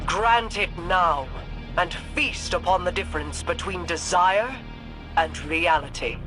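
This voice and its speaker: An actor playing a stern alien ruler who speaks formally and gives commands. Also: male voice, high-pitched, a little slow, rough recording. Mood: commanding. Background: music.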